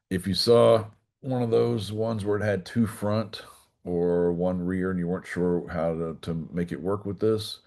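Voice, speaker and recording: A man giving an informal technical class, walking through a software demonstration step by step in a folksy way. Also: low voice. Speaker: class instructor.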